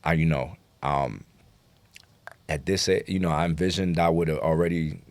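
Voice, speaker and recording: A man's voice: extremely low pitch, fairly even intonation, normal speed, dry recording. A man talking casually as a rapper guest in an interview, slangy, profane and self-assured. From New York City, United States.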